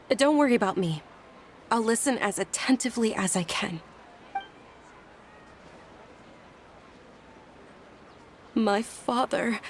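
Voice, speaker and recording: A woman's voice: on the high side, slight background noise. Polite, earnest young noblewoman. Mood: anxious, composed. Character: gentle, dutiful young noblewoman, polite and resolute. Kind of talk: anime-style video game voice acting.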